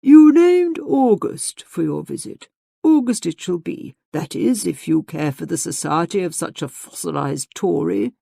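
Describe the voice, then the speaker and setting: A woman voicing a hearty, old-fashioned gentleman father in a novel, energetic, emphatic and self-mocking. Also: fairly low pitch, unhurried, gravelly, no echo, studio quality, heavily acted. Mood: genial.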